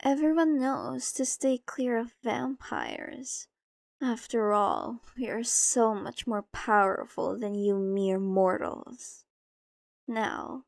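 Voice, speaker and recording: Lady doing a bossy ancient vampire woman, seductive n menacing, higher voice, really slow, asmr roleplay, super dry close sound. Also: a little mellow, dry recording. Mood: commanding, mocking. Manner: condescending, coaxing, theatrical.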